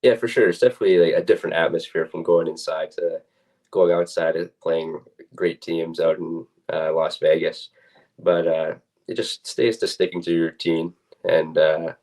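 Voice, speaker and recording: A man's voice: low voice, flat delivery, moderate pace, a little mellow, poor audio. A young man, a student athlete, giving casual, plain-spoken and somewhat hesitant interview answers. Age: young adult.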